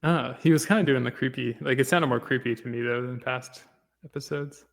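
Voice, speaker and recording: A man's voice: mid-range voice, a little fast, creaky. A man co-hosting a casual discussion show, talking informally in a voice with a reverberant tail. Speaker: podcast co-host. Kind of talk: casual discussion, reading out comments.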